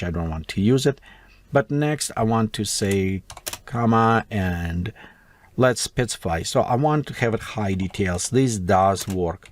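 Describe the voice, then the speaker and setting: A man with a Russian accent walks through a software tutorial at his desk, thinking aloud as he types, his English broken and non-native. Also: lowish voice. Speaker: tutorial host. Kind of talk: screen-recorded software tutorial.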